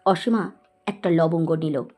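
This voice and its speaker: A woman's voice: fairly low pitch, unhurried, mellow, faint music behind. A woman reading scripted story narration as the narrator of an audio story.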